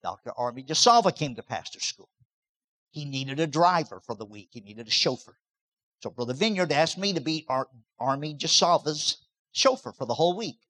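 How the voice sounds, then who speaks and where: A man in his late sixties preaches with a high, bright voice. He stresses points by repeating words and climbing into loud bursts. His tone is sharp and forceful. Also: animated, normal speed. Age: 60s. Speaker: pastor. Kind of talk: sermon.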